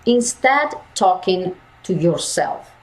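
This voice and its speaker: Slow, emphatic woman voice coach. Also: on the low side, sing-song, rough recording. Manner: slow, emphatic, repeats key phrases. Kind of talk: lecture to university students.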